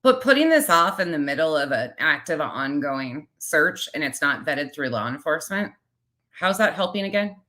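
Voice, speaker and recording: A woman with a low-pitched voice that cuts through brightly and forcefully. She hosts casual reaction commentary and talks bluntly, with a sarcastic, sweary edge. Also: big pitch swings, normal speed. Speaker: commentary channel host.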